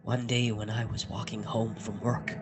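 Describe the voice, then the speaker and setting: A man narrating a dark first-person horror story in a deliberate, dramatic way, leaving heavy pauses. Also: lowish voice, level tone, heavy background noise. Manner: slow, deliberate, with dramatic pauses and hushed moments. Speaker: narrator of a dark fiction podcast.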